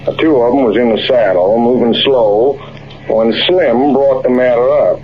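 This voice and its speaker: An actor playing a folksy old-West cowboy narrating a radio western as a drawling yarn. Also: male voice, medium pitch, moderate pace, close to an everyday voice. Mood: reminiscing. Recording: muffled old-time radio recording.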